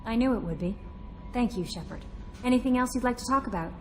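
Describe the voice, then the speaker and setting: A woman's voice: fairly high pitch, lively intonation, quick pace, faint music behind, natural voice. A woman playing a friendly, earnest and eager young starship yeoman in a video game. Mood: admiring, earnest. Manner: upbeat, earnest, personable.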